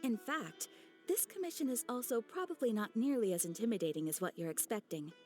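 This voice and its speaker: A courteous guild receptionist acted by a woman in a fantasy game, with a fairly high voice and lively, swooping intonation. She speaks formally and professionally, over faint music. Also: natural voice. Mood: businesslike. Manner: polite, formal, measured, professional. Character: poised, courteous female adventurers' guild receptionist. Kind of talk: video game voice acting.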